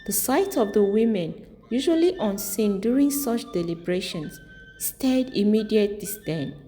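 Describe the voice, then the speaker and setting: A woman's voice: mid-range voice, animated, measured pace, dark tone. A woman narrating a scripted folktale as a storyteller. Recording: long reverb, music underneath. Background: music.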